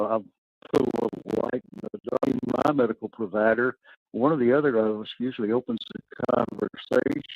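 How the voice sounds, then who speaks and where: Gravelly male caller, measured speech. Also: medium pitch, no room sound. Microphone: phone. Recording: muffled phone line.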